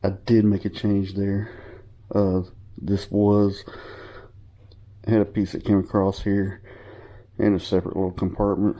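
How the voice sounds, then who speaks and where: Deep-voiced sniffly man explaining his gear. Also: flat delivery, measured pace, pauses often, highs cut off. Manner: rambling, informal, with fillers and self-corrections. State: sniffly from a cold. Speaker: hobbyist showing his gear. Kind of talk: casual show-and-tell tutorial.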